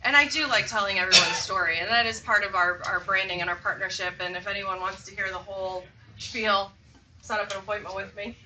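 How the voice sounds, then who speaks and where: A woman's voice: medium pitch, steady tone, a little fast, sharp tone. A woman with an upbeat, salesy manner answers audience questions as a magazine publisher giving a presentation. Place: event room with audience. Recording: roomy far-off mic, faint noise.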